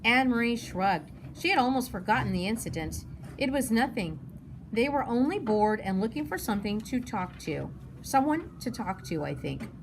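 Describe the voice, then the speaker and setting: A woman's voice: fairly high pitch, very animated, moderate pace. A woman narrating a children's novel as a read-aloud. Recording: noticeable background noise.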